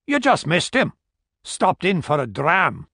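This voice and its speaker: A man's voice: fairly high pitch, huge pitch swings, unhurried, creaky, bright, forceful tone, breathy edge, dry recording, clean studio sound, pitched above the performer's own voice, exaggerated voice. A man voicing a friendly middle-aged barkeep, deferential and spinning a tale. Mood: chatty. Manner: deferential, storytelling.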